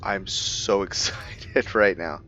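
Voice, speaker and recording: Casting: male gamer host, deep voice, musing casual commentary, faint background noise. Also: slow, sharp tone, muffled recording. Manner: musing, thinking aloud. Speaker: gamer hosting a roleplay playthrough.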